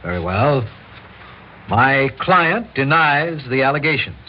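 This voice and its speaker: A man's voice: mid-range voice, sing-song, slow. Muffled old radio drama with faint noise. a defiant, principled American lawyer, speaking in a mid-range voice. He argues formally and emphatically, like counsel addressing a court. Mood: formal, insistent. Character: Jay, principled, defiant lawyer confronting vigilantes.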